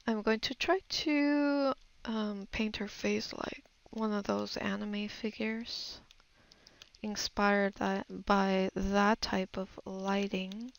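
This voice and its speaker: A woman's voice: mid-range voice, steady tone, slow, vocal fry, rough recording. A woman giving an unscripted art tutorial voice-over, musing hesitantly as she thinks aloud while she works. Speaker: digital artist giving a tutorial. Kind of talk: unscripted voice-over of a painting process.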